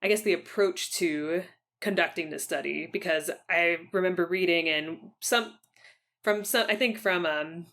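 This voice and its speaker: A woman interviews in a casual podcast style. Her voice sits fairly low but has a bright, forward edge. She phrases questions haltingly and tentatively, circling back to reword them.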